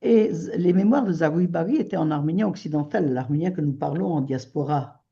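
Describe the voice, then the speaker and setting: Gravelly, husky-voiced radio host. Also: medium pitch, dark tone, muffled recording. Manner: hesitant, many pauses and fillers. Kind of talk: radio interview.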